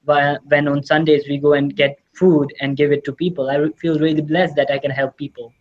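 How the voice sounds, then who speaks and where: A man's voice: fairly high pitch, flat delivery, a little fast. Teen male from Pakistan, native Urdu, eager interview guest, slightly dull audio. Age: teens. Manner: earnest, enthusiastic, repeats "really" often. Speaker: exchange student guest. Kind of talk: casual interview answers.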